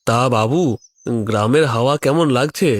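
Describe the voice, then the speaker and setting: A man playing a deferential, inquisitive village tea-stall keeper in an animated story, probing with some hesitation. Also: medium pitch, normal speed, no room sound, close to an everyday voice. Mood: eager, deferential. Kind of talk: animated audio story dialogue.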